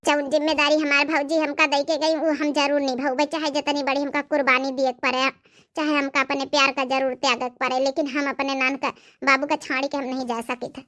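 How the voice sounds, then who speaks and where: Woman playing high, bright, stubborn Awadhi sister. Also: little pitch movement, brisk. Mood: firm, defiant. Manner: firm, argumentative. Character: stubborn, devoted young aunt, self-sacrificing sister. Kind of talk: amateur cartoon story dialogue.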